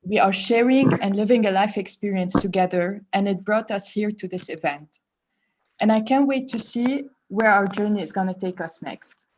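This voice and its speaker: A woman's voice: medium pitch, normal speed, darkish tone. Young Lebanese woman, earnest testimony. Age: 20s. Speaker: student speaker. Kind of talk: prepared personal testimony. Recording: dull lo-fi sound.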